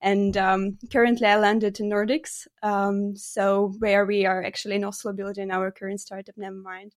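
Ukrainian accent woman being interviewed, normal mid pitch, pauses a lot mid sentence. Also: level tone. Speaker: guest, startup co-founder. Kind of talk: conversational interview answers.

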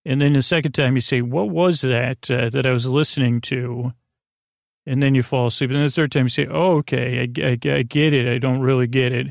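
Creaky, rambling American man. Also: medium pitch, fairly even intonation, fairly quick, dry recording, clean studio sound. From United States. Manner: meandering, hesitant, repeats and trails into tangents. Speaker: sleep podcast host. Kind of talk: rambling, soothing bedtime podcast monologue.

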